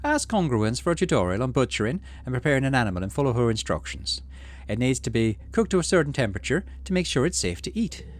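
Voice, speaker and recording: Man reading as kindly, practical aunt, animated delivery. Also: medium pitch, fast, few pauses, pitched above the performer's own voice, close to an everyday voice. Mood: persuasive, practical. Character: kindly, practical aunt running a colony kitchen. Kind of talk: game dialogue read aloud.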